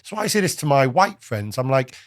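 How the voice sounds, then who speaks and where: A man's voice: medium pitch, big pitch swings, brisk, no echo, clean studio sound. A man from Wales, hosting a casual, opinionated discussion and pressing his points with emphasis. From South Wales. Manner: emphatic, argumentative, speeds up when pressing a point. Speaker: podcast host.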